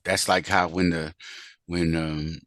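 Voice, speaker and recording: A man with a lowish voice, recorded close and dry, hosts a casual interview. He searches for words, starting and restarting his questions before landing them. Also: level tone, a bit bright, dry recording. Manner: halting, searches for words, rephrases repeatedly. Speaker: interview host.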